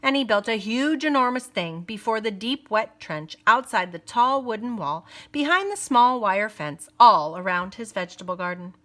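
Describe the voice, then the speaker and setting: A woman teacher reading a picture book aloud, pausing for teaching asides and dropping to playful whispers for suspense. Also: fairly high pitch, animated, pushed and bright.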